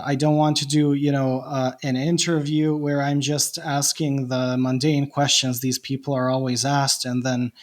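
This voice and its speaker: A man from Bosnia with a Bosnian accent, a non-native English speaker, talking reflectively in a casual podcast chat with frequent restarts. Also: medium pitch. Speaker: podcast host, guest.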